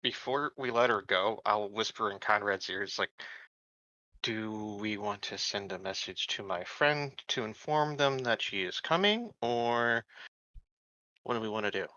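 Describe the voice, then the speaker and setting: Bright-voiced male player, slightly lo-fi. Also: lowish voice, animated, measured pace, dry recording. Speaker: tabletop game player. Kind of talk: casual roleplay chat.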